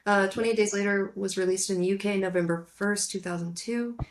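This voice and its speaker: A woman's voice: medium pitch, fairly even intonation, fairly quick. A woman co-hosting a casual film discussion, talking in a playful, jokey way that wanders into digressions. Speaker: podcast co-host.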